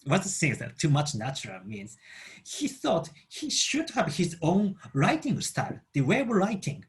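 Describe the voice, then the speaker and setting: A Japanese man speaking English as a second language with a Japanese accent, explaining a book in a halting way as he searches for words. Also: on the high side, wildly swooping pitch. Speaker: book channel host.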